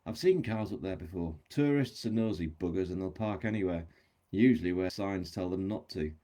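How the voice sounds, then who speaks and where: A British man reading a novel aloud as a spirited, independent younger woman with a cheeky, brisk manner. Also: low voice, mellow, lightly acted. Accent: British English. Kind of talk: audiobook-style novel reading.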